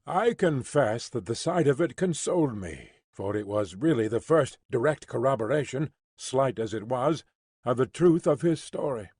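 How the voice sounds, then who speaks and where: Husky male audiobook narrator. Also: mid-range voice, expressive, moderate pace, a little mellow, no room sound, clean studio sound. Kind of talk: scripted narration.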